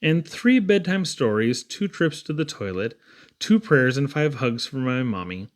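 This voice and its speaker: A man voicing a timid, plaintive little boy who is afraid of the dark, in a storybook poem. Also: medium pitch, expressive, measured pace, natural voice. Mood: timid, pleading.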